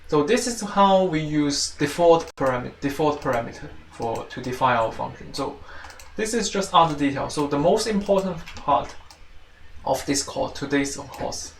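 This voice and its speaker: A roomy, slightly distant recording with faint noise carries a man with a Chinese accent. His mid-pitched voice has a creaky edge. He explains step by step like a teaching assistant, haltingly, circling back to repeat and reword his phrases. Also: animated. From China. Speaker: teaching assistant giving a programming tutorial. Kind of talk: informal spoken tutorial walkthrough.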